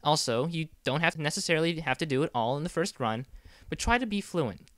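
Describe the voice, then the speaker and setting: A man's voice: on the high side, lively intonation, fairly quick, fairly bright tone, no echo, clean studio sound. A man explaining instructions casually as a project director, informal, rambling and encouraging. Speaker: director of a fan dub project giving audition instructions. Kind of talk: casual semi-scripted explainer.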